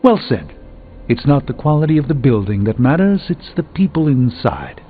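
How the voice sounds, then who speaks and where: A man's voice: medium pitch, big pitch swings, normal speed, natural voice. A man playing a haughty, erudite middle-aged sorcerer in a video game, speaking formally with precise, pompous diction. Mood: haughty approval. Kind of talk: scripted video game acting. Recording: thin phone-like band, music behind.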